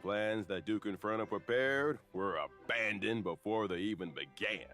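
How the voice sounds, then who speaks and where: A man voicing an adult male game character who narrates the story in an expressive way. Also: fairly low pitch, moderate pace. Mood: grave telling. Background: faint game music.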